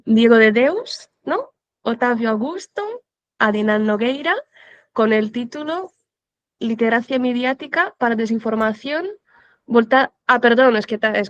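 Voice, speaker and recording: A woman's voice: fairly high pitch, no echo. A woman moderating a conference session over a video call, talking casually and often checking in with the audience. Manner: chatty, with frequent tag questions. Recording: dull lo-fi video call audio.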